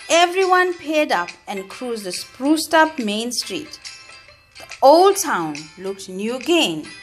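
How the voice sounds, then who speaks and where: A woman reads a story aloud for young children in a high-pitched voice. Her delivery is slow and deliberate, with expressive, swooping emphasis on key words. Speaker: storybook reader.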